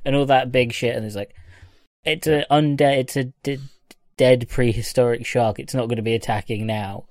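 A man with a mid-pitched voice chats casually about films on a podcast. He is jokey and slips into mock dialogue to make his points. The sound is close and dry. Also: steady tone, moderate pace, a little mellow, no echo. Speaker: podcast panelist. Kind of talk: casual comedic film discussion.

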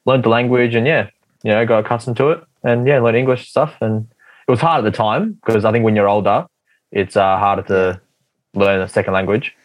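A man's voice: fairly low pitch, fairly even intonation, fairly quick, no room sound, poor audio. A young man, a guest chatting casually, rambling with many fillers. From Australia. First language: Russian. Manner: rambling, filler-heavy, easygoing. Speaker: guest athlete. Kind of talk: casual podcast chat.

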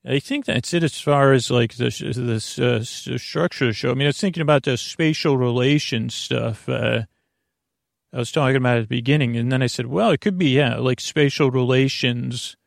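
Creaky-voiced man rambling at bedtime. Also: medium pitch, no echo, studio quality. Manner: meandering and halting, trails off and restarts sentences. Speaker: podcast host. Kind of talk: rambling, off-topic bedtime monologue.

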